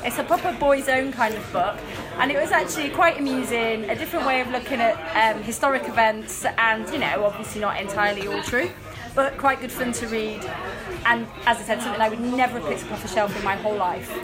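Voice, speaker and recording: A woman's voice: on the high side, a little fast. A woman giving frank opinions in a casual interview. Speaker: book group organiser being interviewed. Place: busy indoor pub. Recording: pub crowd and music behind.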